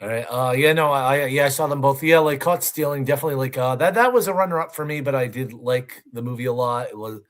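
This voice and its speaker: A man co-hosting a movie countdown show, chatting casually with a hesitant, halting delivery. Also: mid-range voice. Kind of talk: casual film chat.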